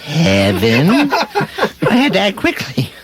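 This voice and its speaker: A man acting a gentle, naive, giggly older guardian angel in a comic radio play. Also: sits high, slow pace, a loud crowd, full character voice. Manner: giggly, excitable.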